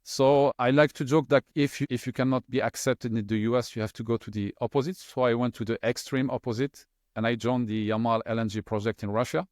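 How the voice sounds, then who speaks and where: A middle-aged man whose first language is French, speaking as an interview guest in a relaxed, hesitant way with many fillers and restarts. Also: medium pitch, fairly quick, few pauses, dry recording, studio quality. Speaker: interview guest, LNG specialist. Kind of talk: conversational interview.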